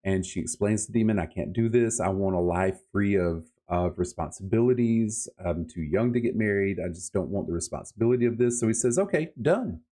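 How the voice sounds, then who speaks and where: A man's voice: on the low side, animated, brisk, few pauses, warm tone. A man chatting casually and emphatically about books as a podcast host, with enthusiastic, colloquial delivery. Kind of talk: casual book-review chat.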